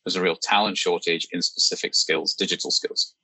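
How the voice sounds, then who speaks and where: A man hosting a podcast, talking thoughtfully in a relaxed, conversational way and asking his guest open questions. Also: deep voice, brisk, slightly rough, no echo, poor audio. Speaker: podcast host. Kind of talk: casual conversational discussion.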